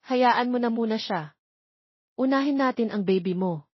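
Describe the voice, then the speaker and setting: A woman's voice: on the high side, moderate pace, breathy edge, no room sound, highs cut off. A woman voicing a loyal young friend, urgent and reassuring, in a narrated love story. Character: loyal, practical young friend calming a crisis.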